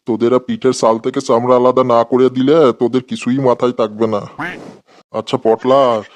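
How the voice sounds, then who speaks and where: An exasperated cartoon schoolteacher, acted by a man, with a mid-pitched voice. He scolds in colloquial speech, full of sarcasm and comic threats. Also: little pitch movement, moderate pace, lightly acted. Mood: exasperated, scolding. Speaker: cartoon voice actor. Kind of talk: comedy cartoon dialogue.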